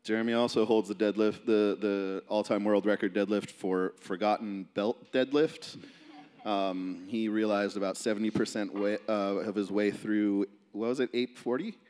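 A man's voice: fairly low pitch, fairly even intonation, moderate pace, darkish tone. A man leading an informal live gym seminar as a coach, casual and motivational, talking to a group. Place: large indoor gym, speaking to seated group. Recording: reverberant gym hall, distant camera.

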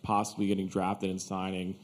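A man's voice: deep voice, completely flat delivery, fairly quick. A man, a reporter, asking a long, carefully built question with fillers at a press conference.

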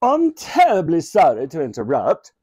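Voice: mid-range voice, huge pitch swings, normal speed, warm tone, breathy edge, voice pushed up. A reader voicing a stately elderly Queen in clipped, formal tones for a children's storybook. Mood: stern, disapproving.

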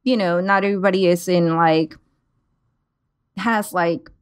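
A woman's voice: lowish voice, steady tone, unhurried, smooth. A woman in her twenties, a guest on a podcast interview over a video call, speaking reflectively and filling her speech with "like". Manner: reflective, with many "like" fillers. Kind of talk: casual interview chat. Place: home room, video call. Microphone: headset.

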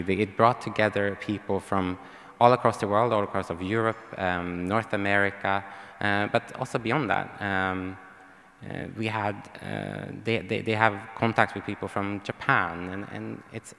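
A man's voice: on the low side, steady tone, moderate pace, gravelly, fairly bright tone. A young man from Sweden with a Swedish accent, giving a hesitant panel talk with lots of ums, speaking into a handheld mic on a stage. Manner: hesitant, many ums and restarts. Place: large hall, on stage. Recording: long hall reverb.

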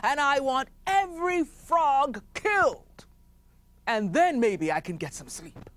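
A woman's voice: sits high, sing-song, slow, voice pushed up, heavily acted. A woman voicing a pompous, irritable male chief who whines about losing sleep and barks orders at his court. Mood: complaining. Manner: whiny, demanding, escalating to frantic shouts.

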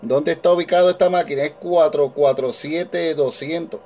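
A man's voice: a little high, normal speed, a little mellow, slight background noise. A man with a Puerto Rican accent, talking cordially in a casual ham radio exchange and repeating his phrases. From Puerto Rico. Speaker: amateur radio operator.

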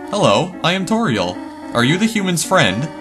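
Dude doing a soft motherly goat lady, kind and polite, music behind. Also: a little high, big pitch swings, normal speed. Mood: gentle. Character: gentle motherly goat-woman queen.

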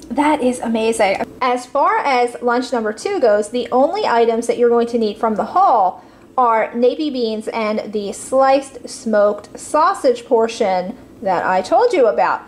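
A woman hosting a budget cooking video, calmly explaining each step from her home kitchen with a few casual fillers. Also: on the high side. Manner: friendly step-by-step instructions with fillers. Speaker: cooking channel host.

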